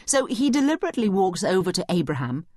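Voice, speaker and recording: Female educational narrator, scripted commentary. Expressive range, explanatory manner, dry studio-close sound. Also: medium pitch, fast talker, no room sound. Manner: explanatory, clearly spelling out points. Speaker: study guide narrator and commentator.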